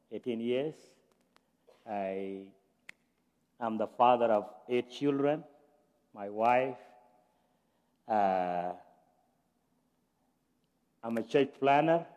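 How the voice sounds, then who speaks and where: A man's voice: medium pitch, dark tone, long reverb tail. A man from South Sudan, a guest pastor speaking earnestly to a church congregation. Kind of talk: church talk. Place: church sanctuary.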